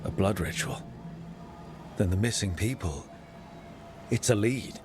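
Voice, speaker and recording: Earnest, low-voiced fantasy hero. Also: male voice, expressive, a little slow, darkish tone, a little hiss or hum. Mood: concerned. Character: earnest, reassuring male fantasy adventurer. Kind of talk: video game cutscene acting.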